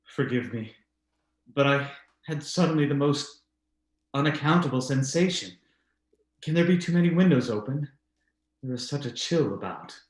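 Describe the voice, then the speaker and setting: A man's voice: mid-range voice, animated, close to an everyday voice. A man playing a reasonable but uneasy husband who denies the supernatural, speaking formally in old-time radio drama style. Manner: formal, measured, halting when uneasy. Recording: roomy, echoey voice recording.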